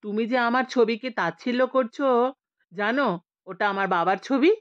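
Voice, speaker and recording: A woman's voice: a little high, moderate pace, dry recording, dull audio, natural voice. A woman voicing an earnest, puzzled young husband who protests his case in an audio story. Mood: grave.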